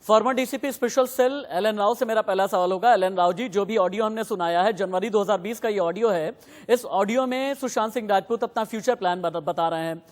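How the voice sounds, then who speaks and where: A man's voice: high voice, long reverb tail. A man anchoring live news, putting questions and instructions to reporters and guests in an insistent, emphatic way.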